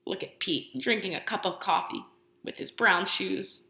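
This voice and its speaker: A woman preschool teacher reading aloud to young children, encouraging them with questions and pauses, using exaggerated, expressive emphasis. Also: mid-range voice, gravelly. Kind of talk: animated children's read-aloud and lesson. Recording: roomy indoor echo.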